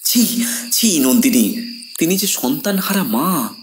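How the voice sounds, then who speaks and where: An actor playing a composed, persuasive séance organiser who explains earnestly in an audio horror drama. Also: male voice, fairly high pitch, expressive, slow pace, a little hiss or hum. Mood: reproving, persuasive.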